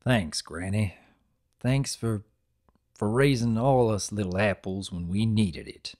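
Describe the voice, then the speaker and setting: A shy storybook stallion of few words, voiced by a man. He talks in a hesitant, folksy, plain way, gentle as a big brother. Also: fairly low pitch, slow pace.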